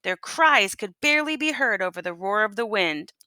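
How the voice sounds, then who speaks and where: A woman teacher reading a storybook aloud to children and pausing to ask them questions. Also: mid-range voice, expressive, sharp tone, no echo, studio quality.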